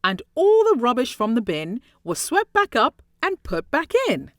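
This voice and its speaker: Woman reading a rhyming storybook, very animated. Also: on the high side, no room sound, studio quality. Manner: theatrical, deliberate phrasing with dramatic emphasis. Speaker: storybook reader. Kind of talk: expressive children's read-aloud of rhyming story.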